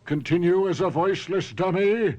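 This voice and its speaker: An actor playing a vengeful, taunting body-stealing professor living in a ventriloquist's dummy, in a radio drama. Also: male voice, sits high, darkish tone, highs cut off, lightly acted. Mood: gloating, cold. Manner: taunting, theatrical, slow and deliberate.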